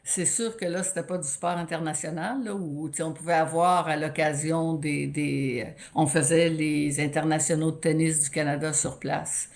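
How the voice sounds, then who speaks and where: A woman in her sixties with a Quebec French accent, a sports broadcaster telling stories in an animated interview, often restarting her sentences. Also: low voice, normal speed, hardly any pauses. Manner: animated, storytelling with many restarts. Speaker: guest, sports play-by-play announcer. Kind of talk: conversational interview.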